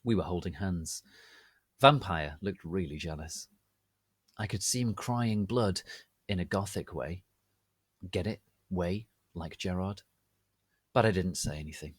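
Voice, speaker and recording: A man giving a theatrical, deliberate dramatic reading of a story as its narrator. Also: sits low, husky, no echo, studio quality. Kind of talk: dramatic reading of fan fiction.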